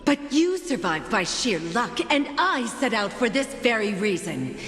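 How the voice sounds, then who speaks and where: A woman's voice: on the high side, big pitch swings, a little slow, a bit bright. A woman playing an imperious, merciless female judge in a video game, speaking in solemn, grandiose, archaic phrases with echoing reverb. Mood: stern, imperious. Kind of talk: scripted game voice acting, ceremonial monologue. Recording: echoey hall reverb under game music.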